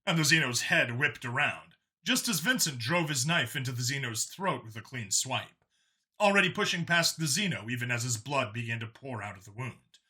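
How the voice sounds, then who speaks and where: A man's voice: medium pitch, animated, moderate pace, pushed and bright. A man narrating a science fiction action story from a script.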